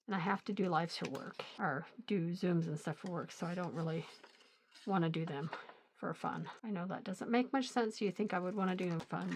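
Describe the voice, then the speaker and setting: A middle-aged woman hosting a crafting channel, a little hoarse, chatting in a relaxed, rambling way while she works. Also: on the low side, a little hiss or hum, low-quality recording. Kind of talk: casual chat while crafting.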